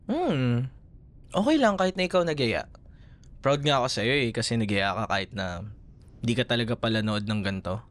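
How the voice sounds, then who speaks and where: A man with a Filipino accent playing a gentle, doting young boyfriend who soothes his partner in intimate ASMR roleplay. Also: mid-range voice, expressive, moderate pace, pauses often, lightly acted. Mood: soothing, tender. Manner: soothing, affectionate, soft murmurs.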